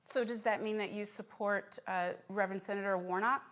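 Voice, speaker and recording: A woman's voice: mid-range voice, fairly even intonation, fairly quick, a bit bright. A woman hosting a talk show and moderating a panel discussion.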